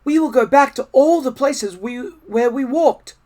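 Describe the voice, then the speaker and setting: Man voicing a cheerful, high helpful frog. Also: measured pace. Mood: reassuring. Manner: bright reassuring. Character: kind helpful male frog, calm friend. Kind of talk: storybook read-aloud.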